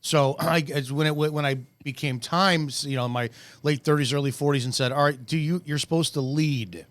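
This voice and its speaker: A man in his forties hosting a podcast, asking questions and sharing his views in a lively, conversational way. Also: on the high side, normal speed, no echo. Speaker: podcast host. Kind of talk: casual interview chat.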